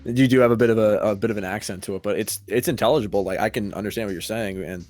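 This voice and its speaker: A man hosting a podcast, talking in a relaxed way, swearing casually and laughing often. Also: fairly low pitch, fast, soft background music. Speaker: podcast host.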